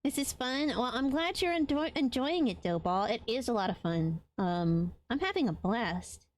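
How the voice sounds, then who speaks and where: A woman from Latin America wrapping up a long game stream, her voice sitting a little higher than most. She talks casually and warmly to her viewers, often filling gaps with ums. Her voice sounds tired after hours of talking. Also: animated, moderate pace. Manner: chatty and affectionate, frequent ums. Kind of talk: casual chat with live viewers.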